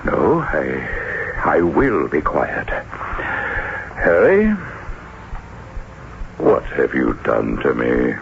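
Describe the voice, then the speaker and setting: An actor playing a domineering, exasperated older-brother banker in an old-time radio drama, scolding and sarcastic with strained self-control. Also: male voice, a bass voice, huge pitch swings, very slow, vocal fry, pauses often, heavily acted. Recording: muffled, tinny, noisy radio recording.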